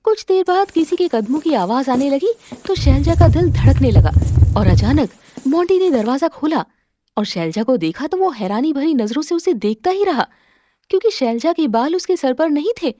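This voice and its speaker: A woman narrating a scripted cartoon moral story with expressive, dramatic storytelling. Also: high voice, normal speed.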